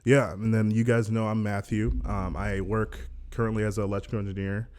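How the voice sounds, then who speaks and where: A young man in his twenties hosts a podcast with his family in a low voice. He sounds upbeat and teasing and leans on "um" between thoughts. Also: level tone, fairly quick, a little mellow. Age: 20s. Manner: upbeat, teasing, many "um" fillers. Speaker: podcast host. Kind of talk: casual family podcast chat.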